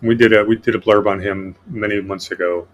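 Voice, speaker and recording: Low-voiced man reading through political notes. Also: fairly even intonation, fairly quick. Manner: sardonic, reads notes aloud. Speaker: podcast host. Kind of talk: casual political discussion.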